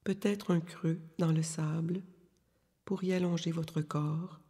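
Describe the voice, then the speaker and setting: Slow, breathy woman guiding deep relaxation. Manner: calm, soothing, unhurried instructions with long pauses. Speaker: yoga nidra meditation guide. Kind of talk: guided relaxation meditation. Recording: long reverberant hall-like echo.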